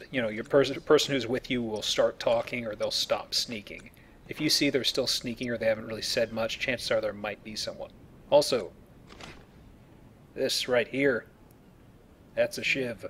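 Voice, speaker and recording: Male let's player, medium pitch, informal and explanatory, talking through gameplay over faint background noise. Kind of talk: casual gameplay commentary.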